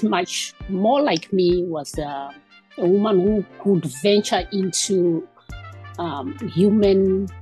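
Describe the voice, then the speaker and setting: A woman's voice: fairly low pitch, a little mellow, rough recording. A woman in her fifties from Zimbabwe, a guest talking through her background with frequent ums and restarts. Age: 50s. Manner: hesitant, many ums and restarts. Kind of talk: casual interview conversation.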